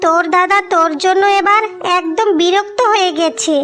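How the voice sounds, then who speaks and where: A very high-pitched, bullying village wife acted by a woman in a cartoon drama. She scolds with sarcasm and scorn, over background music. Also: fairly even intonation, normal speed, a bit bright. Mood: disgusted, harsh.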